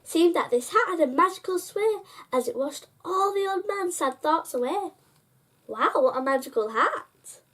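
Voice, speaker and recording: High-pitched woman reading a children's story. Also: expressive, moderate pace, fairly bright tone. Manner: sing-song, addressing children directly. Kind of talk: expressive rhyming picture-book reading.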